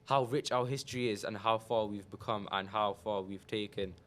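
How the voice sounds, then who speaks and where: Young man, Punjabi native speaker, relaxed guest telling his story, lowish voice. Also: normal speed. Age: young adult. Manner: relaxed, hesitant storytelling with fillers. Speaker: podcast guest.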